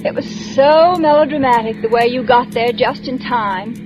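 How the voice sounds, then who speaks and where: An actress in a 1940s radio drama playing a prim, bookish woman who speaks in crisp, precise diction. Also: fairly high pitch, moderate pace, natural voice, poor audio. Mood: polite. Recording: old radio broadcast with music.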